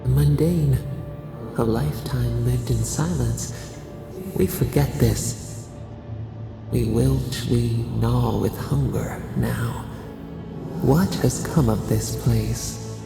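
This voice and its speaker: A performer voicing an ancient spirit in a video game, speaking cryptically and solemnly in halting fragments as a ghostly echoing voice in the head. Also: medium pitch, full character voice. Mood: cryptic, brooding. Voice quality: creaky, gravelly. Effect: long ghostly reverb. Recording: heavy reverb under music. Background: music.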